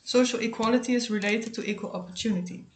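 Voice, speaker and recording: A woman's voice: on the high side, steady tone, fast. A young woman hosting a student podcast, reading formally from a script. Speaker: podcast host. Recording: roomy, faint background noise.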